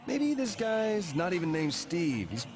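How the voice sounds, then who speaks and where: A man's voice: sits high, big pitch swings, unhurried, crowd noise. A man voicing an anxious, evasive young boyfriend in a video game cutscene, hesitant, stammering and flustered. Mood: nervous. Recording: loud music under dialogue.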